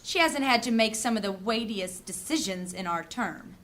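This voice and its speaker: A woman's voice: mid-range voice, expressive, normal speed, a bit bright, natural voice. A woman acting a strong-willed, suspicious female mage in a fantasy audio drama, with a formal, theatrical delivery. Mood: cool, pointed.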